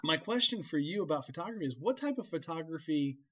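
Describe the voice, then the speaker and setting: A man hosting a casual, chatty interview in a halting, rambling, self-deprecating way. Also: a little high, lively intonation. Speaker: podcast interview host.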